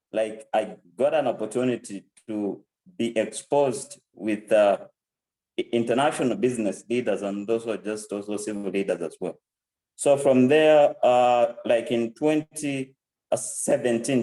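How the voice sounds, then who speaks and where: A man's voice: mid-range voice, dark tone, rough recording. A man in his twenties with a Zambian accent, giving an informal testimonial as a program alumnus. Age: 20s. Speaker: program alumnus and country director.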